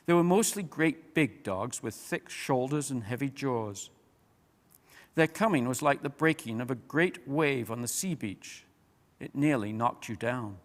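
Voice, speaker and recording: A man reading a story aloud as an expressive audiobook-style narrator. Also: medium pitch. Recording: long hall-like reverb.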